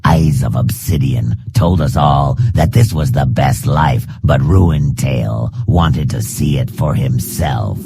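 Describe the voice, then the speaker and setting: A man voicing a hard, proud reptilian assassin trainer in a fantasy game, gravelly and growling, speaking in a measured, formal, stern manner. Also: rumbling low, warm tone, soft background music, heavily acted. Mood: reflective, measured. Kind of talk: fantasy game character dialogue.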